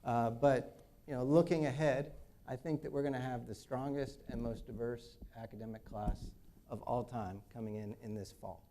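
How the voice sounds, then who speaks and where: A man's voice: medium pitch, fairly even intonation, noisy background. A man, an engineering dean, speaking off the cuff on a panel with frequent "uh" fillers and restarts. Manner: halting, many "uh" fillers and restarts. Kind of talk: unscripted panel remarks.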